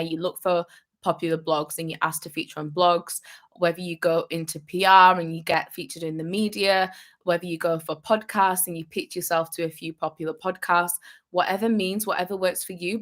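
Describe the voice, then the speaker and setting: A woman's voice: fairly low pitch, fairly bright tone. A young adult woman, a business coach, giving upbeat, encouraging advice on a live vlog and repeating phrases for emphasis.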